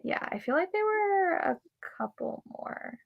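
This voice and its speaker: A woman's voice: very high voice, sing-song, a little slow, creaky, muffled recording. A woman co-hosting a live book readalong show, chatting enthusiastically with a friend about authors and events. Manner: enthusiastic fan chatter, laughs easily. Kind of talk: casual chat between friends.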